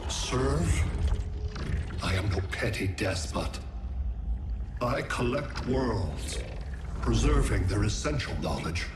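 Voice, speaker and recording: An actor playing a cold, superior alien intellect in a video game, speaking in a measured, detached, imperious way. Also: male voice, fairly low pitch, animated, noisy background. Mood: calm, superior. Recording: echoing voice over loud music.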